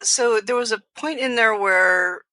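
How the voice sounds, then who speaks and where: A woman's voice: fairly high pitch, measured pace, sharp tone, no room sound. A woman co-hosting a podcast, talking through a book in a casual, tentative, musing way. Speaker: podcast co-host. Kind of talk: casual book discussion chat.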